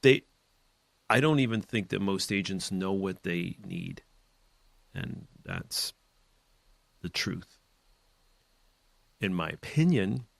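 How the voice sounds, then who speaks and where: A man's voice: sits low, creaky, fairly bright tone, no echo. A man hosting a real estate podcast, giving emphatic, opinionated advice in a rambling, casual way with side notes. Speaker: real estate team leader and podcast host.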